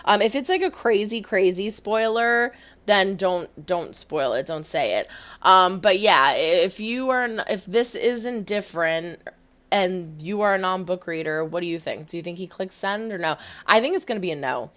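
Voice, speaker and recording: Hoarse, chatty woman vlogger. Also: medium pitch, moderate pace. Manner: chatty, animated, rambling with restarts. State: hoarse, losing her voice. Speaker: reaction video host. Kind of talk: casual reaction vlog to camera.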